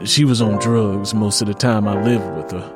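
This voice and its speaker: A man's voice: lowish voice, fairly even intonation, moderate pace, over music, voice pushed down, natural voice. A man playing a downcast, wounded man with a cheerless tone in an audio drama. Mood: sad, resigned. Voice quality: cheerless, sad tone. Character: wounded, downcast man hiding old childhood pain.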